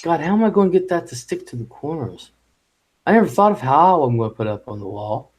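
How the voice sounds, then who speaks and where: A man hosting a casual live stream chat, rambling and halting, with lots of ums and restarts. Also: fairly high pitch, sing-song, husky. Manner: halting and rambling, with many fillers. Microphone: home microphone.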